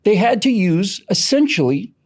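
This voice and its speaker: A man's voice: high-pitched, big pitch swings, normal speed, darkish tone. An American man explaining things in an interview in an emphatic, storytelling way. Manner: emphatic, dramatic storytelling with self-corrections. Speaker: podcast creator being interviewed. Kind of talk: animated explanatory interview talk.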